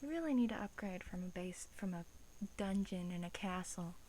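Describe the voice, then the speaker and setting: A woman voicing a smug, menacing female vampire in a soft-spoken ASMR roleplay, teasing and slipping into whispers. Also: on the low side, animated, normal speed, slight background noise, close to an everyday voice. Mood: grumbling.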